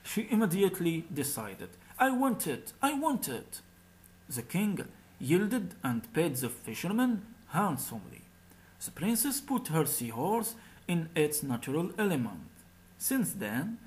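A man narrating a scripted folk tale as a storyteller, reading aloud in a slightly halting way. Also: a little high.

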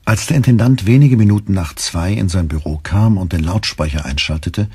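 A man with a husky voice narrating a German literary radio play from a script. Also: deep voice, a little fast, darkish tone, no echo, clean studio sound.

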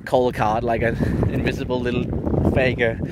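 A man's voice: medium pitch, little pitch movement, normal speed. A man in his thirties from Denmark, chatting casually into a handheld camera with a rambling manner and frequent laughs. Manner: chatty, rambling, laughs often, baby-talks to his dog. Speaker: vlogger. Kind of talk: casual daily vlog. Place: outdoors on a windy beach, partly at home. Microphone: handheld camera at arm's length. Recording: wind noise on camera mic.

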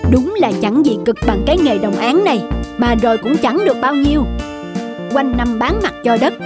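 A woman acts out an honest, hard-up farmer man in a cartoon folk tale. His voice is fairly high, plaintive and full of exclamation, over clear background music. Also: lively intonation, normal speed. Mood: weary. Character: weary, honest, excitable poor male farmer.

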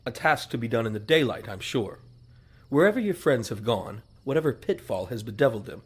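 An actor playing a proud, fussy old family servant who scolds in formal, wordy speech in a western audio drama. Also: male voice, mid-range voice, expressive, a little fast, natural voice. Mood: disapproving. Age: older.

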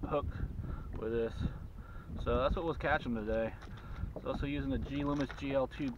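A man in his forties talks casually on a small boat on an open lake, caught by a camera mounted a few feet away. The sound is dull and muffled. Steady wind noise runs under his voice. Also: mid-range voice, normal speed, far from the mic. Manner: excited, talking to himself while handling fish. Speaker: fishing channel host. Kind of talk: casual fishing vlog narration. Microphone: action camera mounted on the boat.

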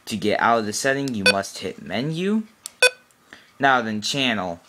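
Low-voiced man giving an informal tutorial. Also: lively intonation, a little slow, very noisy. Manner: matter-of-fact, instructional. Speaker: product demonstrator. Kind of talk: informal how-to tutorial, unscripted. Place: small cluttered room indoors.

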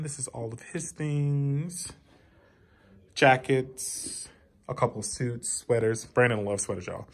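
A man's voice: mid-range voice, fairly bright tone. Male vlogger in his 30s with a congested, nasal voice, chatty and emotional, filming on a handheld phone at home. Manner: chatty, emotional, addresses viewers as y'all. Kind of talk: casual home-tour vlog. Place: house being packed up, indoors. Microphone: handheld phone camera.

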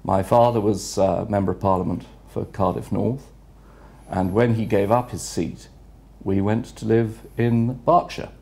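Older english gent, gravelly low voice, slow thoughtful answers w lots of pauses, lapel mic. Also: male voice, fairly even intonation, a little mellow. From England. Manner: measured, reflective, unhurried with frequent pauses. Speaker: retired headmaster, guest. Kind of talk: interview answers. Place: office room with city view window.

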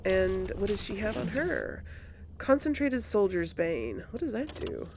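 A woman's voice: mid-range voice, sing-song, a little slow, darkish tone, a little hiss or hum. A woman hosting a casual video game let's play, thinking aloud as she goes. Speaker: gameplay host. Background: video game sounds.

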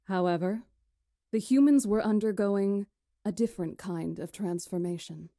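A woman playing a stately magician in a visual novel, recounting history in a measured, formal, solemn way. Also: medium pitch, dark tone, no room sound, clean studio sound, lightly acted. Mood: solemn, proud. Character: stately female magician elder recounting ancient history to lords. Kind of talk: visual novel character acting, storytelling.

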